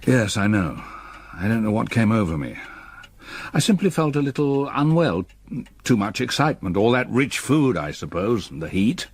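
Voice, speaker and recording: An actor playing a middle-aged, haunted and reserved English gentleman stepfather in a radio drama, with a husky voice and formal, hesitant manner. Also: fairly low pitch, big pitch swings, moderate pace, no echo, full character voice. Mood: apologetic.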